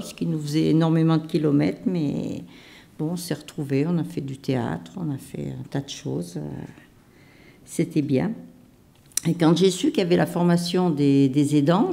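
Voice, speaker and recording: Low mellow woman, halting testimony on a panel, lots of pauses. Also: gravelly. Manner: measured and hesitant, many pauses and restarts. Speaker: caregiver panelist. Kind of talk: personal testimony at a conference round table.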